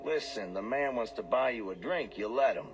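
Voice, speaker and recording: An actor playing a blunt, world-weary bartender in a radio drama, talking in a brusque, wry, colloquial way. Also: male voice, mid-range voice, lively intonation, normal speed, over music, lightly acted. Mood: easygoing, wry.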